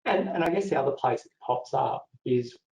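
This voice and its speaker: A man's voice: medium pitch, lively intonation, fairly quick, vocal fry, darkish tone. A man co-presenting a legal webinar, explaining points steadily and steering the session. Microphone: video call. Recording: dull echoey webinar audio.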